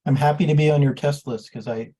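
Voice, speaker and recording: A man talking casually as a participant in a software community call. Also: a little high, fairly even intonation, quick pace, husky.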